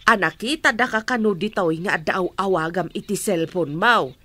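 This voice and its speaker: A woman's voice: medium pitch, moderate pace, bright, forceful tone, no room sound. A woman playing a concerned, questioning middle-aged mother in a radio drama, with a Filipino Ilocano accent. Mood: questioning, agitated.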